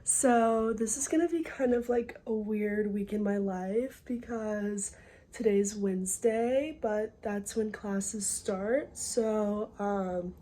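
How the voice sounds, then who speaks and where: A woman's voice: medium pitch, takes it slowly, mellow. A young woman, a college student, chatting casually to camera in a vlog, a bit congested and using lots of fillers. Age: young adult. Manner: chatty, filler-heavy, upbeat.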